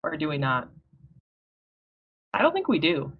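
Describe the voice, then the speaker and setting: A young woman co-hosting a book podcast, explaining the story in a relaxed, teasing way. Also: deep voice, unhurried, slightly rough. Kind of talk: casual book discussion. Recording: dull lo-fi recording.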